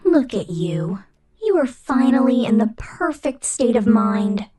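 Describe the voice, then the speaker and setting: A woman playing a menacing, possessive robot boss in an ASMR roleplay, commanding and pompous in a deliberate corporate manner. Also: fairly high pitch, big pitch swings, mellow. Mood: coldly gloating.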